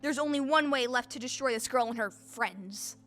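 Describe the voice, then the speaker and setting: A woman acts out a wicked witch for a stage audio play, high and piercingly sharp. She is imperious and quick to rage, with theatrical command. Faint music plays underneath. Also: lightly acted. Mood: grim, resolved.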